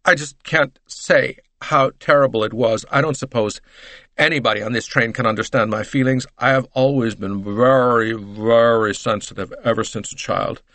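A man's voice: mid-range voice, fairly even intonation, moderate pace, a bit bright, dry recording, close to an everyday voice. A man voicing a talkative, melodramatic middle-aged American woman in an audiobook, with a gushing, emphatic, breathless delivery. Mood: self-pitying.

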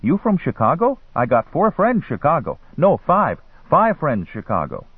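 A man's voice: a little high, wildly swooping pitch, normal speed, breathy edge, no room sound, narrow, tinny audio, pitched above the performer's own voice, full character voice. A man voicing a persistent teenage boy hustler in a story, eager and wheedling in broken English. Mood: eager, probing.

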